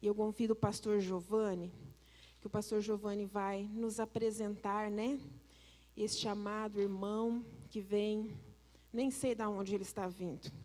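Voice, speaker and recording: A woman's voice: medium pitch, level tone, measured pace, dark tone. Woman leading a service, church reverb. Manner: chatty introduction with "né".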